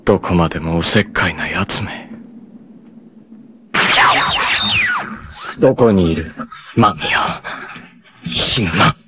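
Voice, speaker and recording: A proud, fierce young warrior from a 1980s anime. His voice sits fairly low, and his lines come grave and intense, breaking into anguished shouts. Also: a little slow. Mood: resolute. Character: proud young male martial arts warrior, fierce and devoted.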